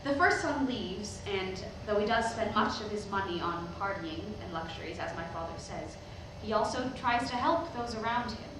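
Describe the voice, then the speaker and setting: A woman's voice: mid-range voice, lively intonation, natural voice. A woman playing an eighteen-year-old preacher's daughter, nervous but defiant, giving a hesitant sermon in a staged reading. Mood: earnest, resolute. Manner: halting, hesitant public address with rhetorical questions. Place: indoor hall, recorded from the audience. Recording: very echoey hall, distant microphone, noisy.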